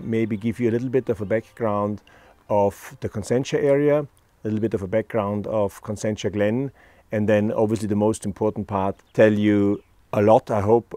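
A middle-aged man with an Austrian accent, a wine estate owner presenting a tasting outdoors, speaking in a measured way with many pauses. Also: on the low side, fairly even intonation, dark tone, no room sound. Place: outdoors on a hilltop above a lake.

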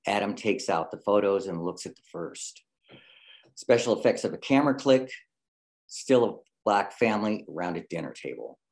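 A man's voice: on the low side, lively intonation, normal speed, darkish tone, low-quality recording. A man reading screenplay stage directions aloud as scripted narration.

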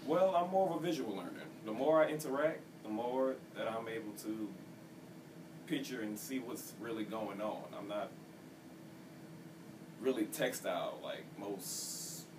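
An eighteen-year-old male student answering interview questions casually, a little halting. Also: fairly low pitch. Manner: casual, opens with "well", a bit halting. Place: office computer room, indoors. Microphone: distant camera mic. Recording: distant mic with steady hiss.

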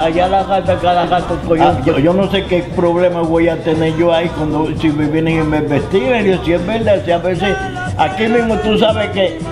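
Older Puerto Rican man, high-voiced storyteller. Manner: halting, repetitive, conversational storytelling. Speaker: interviewee. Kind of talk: oral history recollection.

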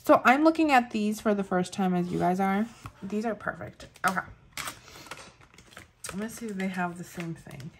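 A woman's voice: mid-range voice, normal speed, pauses often, a little hiss or hum. A woman in her thirties with a Spanish accent, chatting casually and gushing over planner products, with lots of fillers. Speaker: planner vlogger. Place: indoors at a table, overhead camera on hands.

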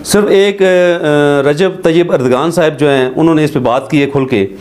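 A middle-aged man with a Pakistani Urdu accent speaks in a voice pitched slightly high for a man. He delivers studio commentary to camera in an earnest, measured way, stopping often on hesitant fillers. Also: a little mellow. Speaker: advocate commentator. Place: studio with green screen backdrop.

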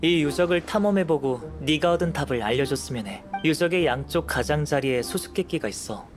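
An actor playing a calm, curious young male alchemist in a game, speaking in a measured, composed, articulate way. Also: a little high, close to an everyday voice. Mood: calm, assured. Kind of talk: scripted game dialogue. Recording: music behind the voice.

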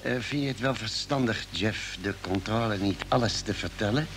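An actor playing a cautious, calm ship's doctor in an old Dutch radio drama, speaking in a hesitant, thoughtful way. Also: male voice, fairly low pitch, normal speed, natural voice. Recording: noisy vintage radio play recording.

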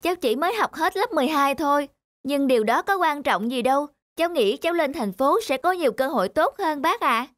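A woman's voice: high voice, lively intonation, brisk, fairly bright tone, no room sound. A woman voicing a polite, naive, earnest young man in a moral-tale cartoon. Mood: polite, hopeful. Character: earnest, polite young male high-school graduate.

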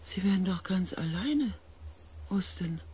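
An actor playing a shaken space expedition member in a radio drama, with a husky, breathy voice and a hushed, halting manner. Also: male voice, sits high, animated, a little hiss or hum. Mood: worried, pleading. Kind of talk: radio drama narration and acting.

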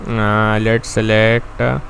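A young man with an Indian accent, a native Hindi speaker, slowly walking through a software tutorial step by step. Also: on the low side, creaky, slight background noise, low-quality recording. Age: young adult. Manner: slow, step-by-step, excitable. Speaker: tutorial host. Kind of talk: screen-recorded software tutorial. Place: indoor room. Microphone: handheld foam-covered mic.

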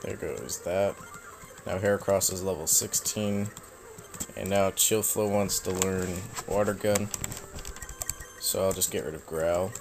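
A young man casually commenting while he plays a video game, rambling and self-mocking, his voice slightly raspy from a cold. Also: sits low, steady tone, a little slow, pauses often, noisy background. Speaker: let's play host.

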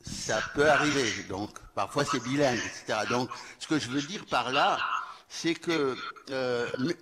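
An older Tunisian man, a professor of French, speaking on a panel in a measured, reflective way, with hesitant fillers and a handheld mic. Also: medium pitch. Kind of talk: round-table talk. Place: large festival hall with a stage curtain. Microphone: handheld microphone. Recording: reverberant hall, noisy background.

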